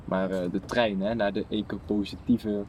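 A man acting an easygoing young brother in a radio play, a little puzzled and casually questioning. His voice sits fairly low, over faint ambient noise. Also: fairly even intonation, normal speed, darkish tone, close to an everyday voice. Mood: doubtful, curious. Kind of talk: naturalistic radio play dialogue.

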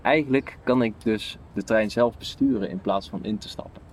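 A man's voice: on the low side, normal speed, darkish tone, breathy edge. A young man playing an easygoing, slightly puzzled brother in naturalistic radio play dialogue, casual and questioning. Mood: doubtful, curious. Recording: faint station ambience noise.

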